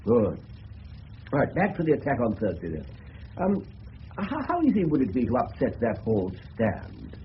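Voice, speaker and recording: Genial, brisk upper-class English colonel, varied intonation, period radio play, muffled noisy recording. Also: male voice, medium pitch, huge pitch swings. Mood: businesslike. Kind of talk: 1970s radio drama acting.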